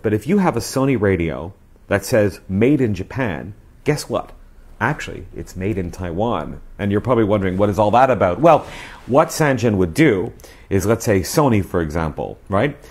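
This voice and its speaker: A man's voice: on the low side, a little mellow. A man in his forties hosting a casual review to camera in a chatty, emphatic presenter style. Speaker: host of a radio review show. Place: small living room, indoors. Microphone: lapel mic.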